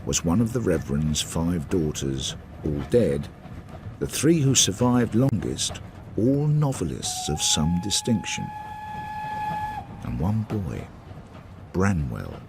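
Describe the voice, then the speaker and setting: A Victorian police sergeant telling his story for radio drama. The voice is deep and moves expressively, with measured, wry, literary phrasing. Clear noise sits on the recording. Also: big pitch swings, heavily acted. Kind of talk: scripted radio drama narration.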